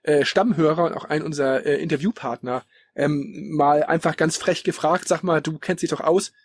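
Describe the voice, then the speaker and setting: A man's voice: on the high side, normal speed, no echo. A man hosting a casual gaming podcast, talking in a chatty, rambling and opinionated way. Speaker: podcast host.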